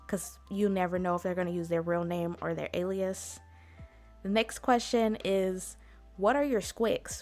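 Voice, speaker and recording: A woman hosting an informal explainer talk in a chatty, conversational way, speaking directly to her listeners. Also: mid-range voice. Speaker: convention panel host.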